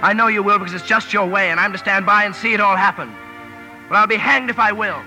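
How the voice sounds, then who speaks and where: Old radio drama, lovesick young man, really bright intense voice, emphatic, faint music. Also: high-pitched, fast talker. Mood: bitter, heated. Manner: pleading, emphatic. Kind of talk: 1950s radio drama acting.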